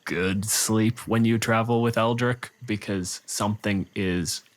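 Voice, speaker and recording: Slow, low-voiced man running a game. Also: steady tone. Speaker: game master. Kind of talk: narrating a tabletop game.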